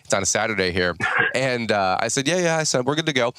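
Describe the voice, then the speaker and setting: An American man in his thirties hosting a podcast in casual, rambling chat, his voice a little raspy from just waking up. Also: mid-range voice, lively intonation, fast, fairly bright tone, no echo. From United States. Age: 30s. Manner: chatty, rambling, folksy. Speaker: podcast host.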